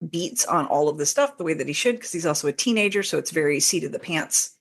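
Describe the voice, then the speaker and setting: A woman's voice: low-pitched, lively intonation, fast talker. A woman talking casually as an interview guest, explaining in detail in an informal, rambling way and often interrupting herself. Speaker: interview guest, fan fiction author. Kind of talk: casual, detailed explanation of own writing process.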